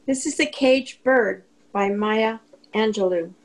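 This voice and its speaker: Unhurried woman reading verse aloud. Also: a little high. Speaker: poetry reader at a group reading. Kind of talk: measured poetry reading aloud.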